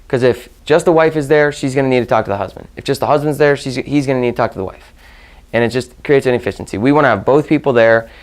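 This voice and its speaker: Male sales trainer, mid-pitched, instructional delivery with demonstrated call lines, restates points for emphasis. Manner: repeats and rephrases for emphasis.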